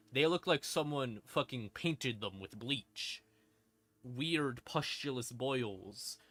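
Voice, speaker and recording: A man's voice: mid-range voice, sharp tone. A man hosting a livestream, explaining game lore in a casual, informal, sweary and emphatic way. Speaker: live streamer, host. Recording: ambient music behind the voice. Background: creepy ambient music.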